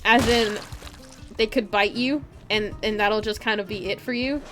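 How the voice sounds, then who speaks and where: A woman giving excitable live gameplay commentary, talking to herself and to her viewers. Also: medium pitch, normal speed, over music. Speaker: game streamer. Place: indoors, streaming at a computer.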